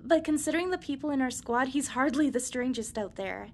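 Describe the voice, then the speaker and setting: A woman's voice: high voice, lively intonation, brisk, a little hiss or hum. A woman playing a frank, friendly young space cadet in a sci-fi anime-style drama. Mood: casual, chiding. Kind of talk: sci-fi anime parody audio drama acting.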